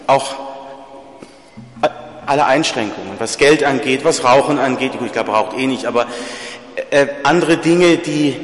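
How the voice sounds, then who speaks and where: A man preaching a sermon in a plain, earnest way, with a slight stutter and repeated words. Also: mid-range voice. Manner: earnest, plain-spoken, rising to emphatic peaks. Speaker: priest. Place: church. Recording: echoey church hall.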